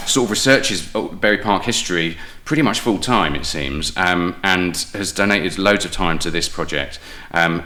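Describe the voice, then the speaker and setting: A man's voice: sits low, bright, forceful tone. A man with a southern English accent chatting casually as an interview guest, telling personal stories in a self-deprecating way. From England. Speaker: guest playwright.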